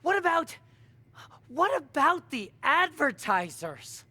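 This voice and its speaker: A man's voice: very high-pitched, very animated, slow, bright, forceful tone, heavily acted. A man playing a fussy, indignant corporate official in a video game, stammering and affronted. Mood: indignant, flustered. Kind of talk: exaggerated video game cutscene acting.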